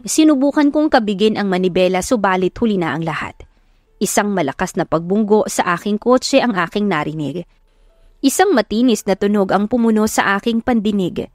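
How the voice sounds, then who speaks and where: A woman narrates a romantic drama audiobook with expressive, measured delivery. Her voice sits at a medium pitch and is recorded dry and close, with music playing underneath. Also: dry recording. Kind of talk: scripted dramatic first-person love story narration. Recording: music behind the voice.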